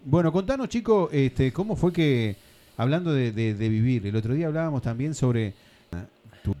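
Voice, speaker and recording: A man's voice: medium pitch, animated, normal speed, warm tone. Rambling Argentine radio host man. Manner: rambling, chatty, many fillers. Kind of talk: casual radio chat.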